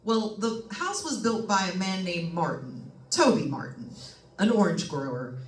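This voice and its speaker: A woman's voice: medium pitch, big pitch swings, measured pace. A woman playing a plain-talking, skeptical male real estate agent in old-time radio drama style, telling things in a folksy, matter-of-fact way. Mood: grave, matter-of-fact. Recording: echoey room, distant mic, faint noise.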